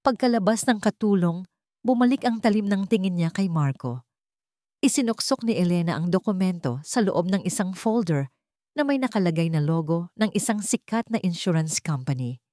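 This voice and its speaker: Mababang boses ng babaeng Pilipinang tagapagsalaysay. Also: female voice, fairly low pitch, expressive, normal speed, dark tone, no echo, clean studio sound. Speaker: audiobook narrator. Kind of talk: dramatic scripted story narration.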